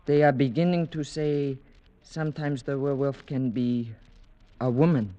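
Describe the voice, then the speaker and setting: A man's voice: mid-range voice, steady tone, normal speed, dull audio, close to an everyday voice. Male actor as nervous, respectful household servant, mid-range voice, reluctant delivery, vintage radio mystery. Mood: uneasy. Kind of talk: 1940s-50s radio drama acting.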